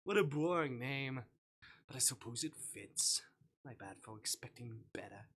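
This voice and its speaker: A man's voice: medium pitch, animated, normal speed, fairly bright tone. A man voicing a mysterious male dream visitor from a visual novel, aloof, teasing and easily annoyed, with a dry, curt delivery. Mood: dry, haughty. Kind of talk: visual novel character reading.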